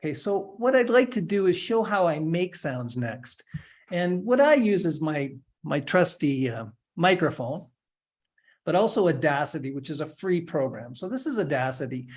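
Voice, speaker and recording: Relaxed man walking through a software demo. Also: fairly high pitch, normal speed. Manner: relaxed step-by-step narration with light humor. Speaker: instructor giving a software demo. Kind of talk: screen-share tutorial, casual explanation.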